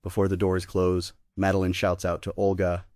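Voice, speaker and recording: A man's voice: low-pitched, monotone, normal speed, darkish tone, no room sound, clean studio sound. A man narrating stage directions in a scripted table read.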